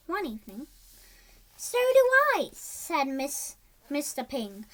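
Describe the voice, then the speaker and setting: Child reader, high voice, slow pace, lively swoops in pitch, halting storybook read-aloud with stumbles and repeats. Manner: halting, stumbles over words and repeats them.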